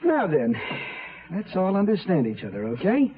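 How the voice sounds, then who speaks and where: A young killer in a radio thriller, menacing under mock courtesy. His fairly high voice is halting and very animated, heard through a thin, slightly noisy recording. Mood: controlling, sinister. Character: menacing young male killer, falsely polite, unstable. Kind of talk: old-time radio drama acting. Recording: tinny radio recording with background noise.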